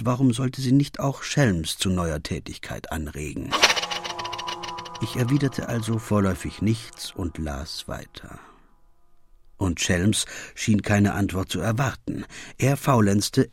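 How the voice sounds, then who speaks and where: An actor playing a detective's loyal, genially self-satisfied sidekick, narrating a German radio play in a measured, literary way. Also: male voice, fairly low pitch, darkish tone, slightly rough, exaggerated voice.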